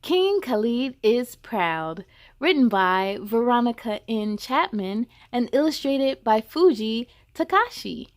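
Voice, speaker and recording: A woman's voice: a little high, sing-song, slow pace. A woman hosting a children's story time, reading aloud expressively with encouraging, chatty asides to young viewers. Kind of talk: animated children's read-aloud.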